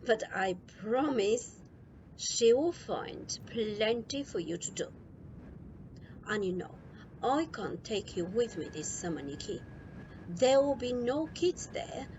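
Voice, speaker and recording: A woman's voice: mid-range voice, animated, takes it slowly, muffled recording, noisy background. A woman voicing an easygoing, reassuring father in a children's storybook. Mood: amused, reassuring. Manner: chuckling, reassuring.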